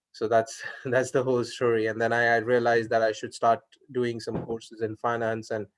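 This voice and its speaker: A young man from India chatting in a casual conversation, talking with many fillers and restarts. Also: medium pitch. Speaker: interviewer. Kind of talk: casual video call conversation.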